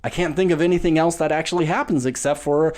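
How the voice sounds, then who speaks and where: Animated man rambling in casual chat. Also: on the high side, fast talker. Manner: rambling, animated, stumbles on words. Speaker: podcast co-host. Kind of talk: casual film review chat.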